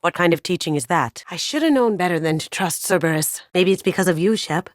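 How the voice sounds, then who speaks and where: A woman's voice: fairly low pitch, lively intonation, a little fast, no room sound, clean studio sound, natural voice. A woman voicing a playful, wry young master thief in a sci-fi video game, with casual, cheeky delivery. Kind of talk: video game squad voice lines.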